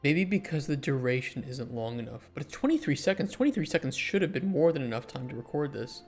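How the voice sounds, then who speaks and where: A man who develops indie games, chatting casually on a livestream and thinking aloud as he answers chat, his voice hoarse after hours of streaming. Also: fairly high pitch, lively intonation, quick pace, darkish tone, soft background music.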